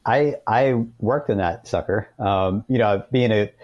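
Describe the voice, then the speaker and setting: Low-pitched, mellow male podcast guest. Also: a little slow, dry recording, muffled recording. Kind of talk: conversational interview answers.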